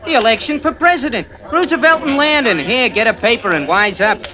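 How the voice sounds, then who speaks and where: A woman's voice: a little high, lively intonation, fairly quick, sharp tone, dull audio. A woman playing a brash, sassy young street newspaper seller in an old-time radio drama. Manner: street hawker's cries, sassy.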